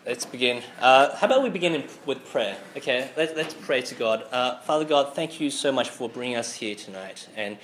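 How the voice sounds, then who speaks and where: A man's voice: medium pitch, expressive, normal speed, hardly any pauses, fairly bright tone, a little hiss or hum. A man who is sick, preaching a sermon with many fillers and restarts. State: sick, voice a bit off. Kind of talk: sermon to a student group.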